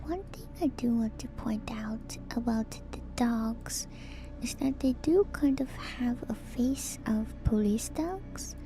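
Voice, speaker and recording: A woman giving whispered ASMR commentary as a streamer, in a soft, breathy, hushed and musing way, close to the microphone. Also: a little high, takes it slowly, music in the background. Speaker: streamer, host of a lore breakdown.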